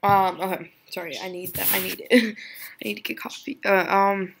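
Young woman tutor, screen recording, chatty and giggly, gravelly low-ish voice, faint hiss on the computer mic. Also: steady tone, normal speed, fairly bright tone. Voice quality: voice lower than usual today. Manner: chatty, giggly, self-deprecating, thinks aloud. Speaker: tutorial creator.

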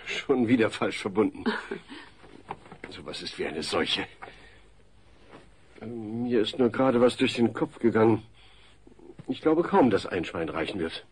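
A man's voice: fairly low pitch, animated, slow pace, long pauses. An actor playing a polite, exasperated suburban family father in a comedy audio play. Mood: flustered. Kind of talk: German sitcom audio play dub. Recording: noisy old cassette recording.

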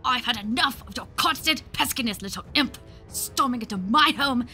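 A woman's voice: fairly high pitch, expressive, moderate pace, sharp tone, soft background music. A woman playing a haughty, vicious aristocratic owl demoness in a comic dub, imperious and scornful. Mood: indignant. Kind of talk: cartoon comic dub voice acting.

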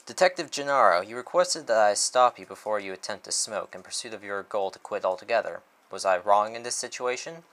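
A man's voice: on the low side, expressive, fairly quick, hardly any pauses, a bit bright. A man voicing a literal-minded male robot police partner who speaks in formal, precise phrases. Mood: formal, matter-of-fact.

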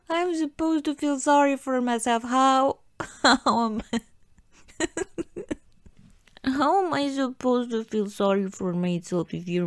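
A woman acts out a pouting, playfully whiny girlfriend in an intimate ASMR roleplay. She talks very slowly and haltingly, pleading in a giggly mock sulk. The sound is close and very dry. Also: on the high side, dry recording. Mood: giggly protesting.

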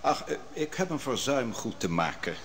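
An actor playing a calm, sharp young prophet who cross-examines witnesses in court in a radio drama. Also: male voice, medium pitch, big-room sound, slight background noise. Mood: probing. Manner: probing, measured. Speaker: interrogator in court. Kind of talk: vintage radio drama acting.

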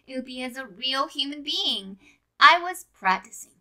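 A woman playing a flustered, defensive teenage girl with a teasing streak in a fan audio drama, protesting in emphatic, halting bursts. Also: high-pitched, animated, sharp tone, heavily acted.

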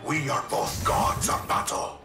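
An actor voicing a hulking, menacing, arrogant masked villain in a video game, making grandiose threats. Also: male voice, close to an everyday voice. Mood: proud. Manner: slow, menacing, grandiose declarations. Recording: loud music behind, echoing sound.

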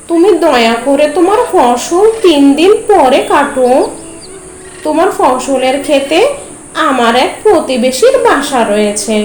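A woman voicing a helpful male crow neighbour in a cartoon, calm and reassuring. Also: high voice, reverberant, a little hiss or hum, rough recording. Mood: pleading.

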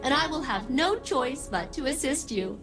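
A woman voicing a video game ship AI, a chirpy scientist with a sardonic, gloomy side, moving between perky precision and deadpan sarcasm. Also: sits high, normal speed, music in the background. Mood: chirpy, eager. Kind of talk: scripted video game dialogue.

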